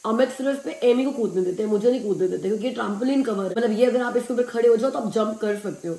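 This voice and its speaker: A woman from India with a naturally husky voice, hoarse and strained today, chatting casually to camera as a family vlogger and laughing often. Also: fairly high pitch, fast, mellow, echoey room.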